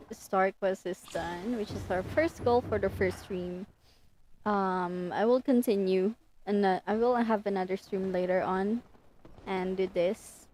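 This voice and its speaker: A woman's voice: mid-range voice, a little mellow, slight background noise, poor audio. A woman streamer talking casually as she plays a game on a livestream.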